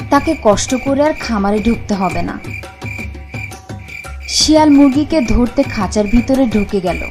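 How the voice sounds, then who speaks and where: A woman telling a scripted children's story as the narrator of a cartoon. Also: fairly high pitch. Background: music.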